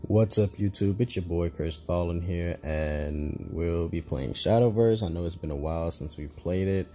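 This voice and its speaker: Man, dark mellow tone, chatty gaming commentary, rambling, faint background music. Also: low-pitched, normal speed. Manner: chatty, rambling, laughs at own slips. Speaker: gaming YouTuber. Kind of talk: casual gameplay commentary.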